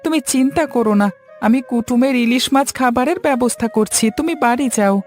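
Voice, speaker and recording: A woman's voice: sits high, brisk, a little mellow, close to an everyday voice. A woman voicing a helpful, dutiful young village handyman in a cartoon story, earnest and polite. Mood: reassuring, resolute. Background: background music.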